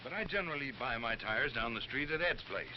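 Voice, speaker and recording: An actor playing a reluctant, evasive tire customer in a 1930s training film, speaking hesitantly. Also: male voice, mid-range voice, expressive, a little fast, natural voice. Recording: muffled, noisy 1930s film soundtrack.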